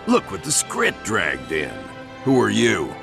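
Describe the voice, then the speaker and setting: A bossy, suspicious bandit boss's deputy in a video game. His voice is heavily creaky and gruff, rising and falling freely, with clear music underneath. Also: lowish voice, wildly swooping pitch, slow pace, full character voice. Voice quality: gravelly. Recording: music behind the voice.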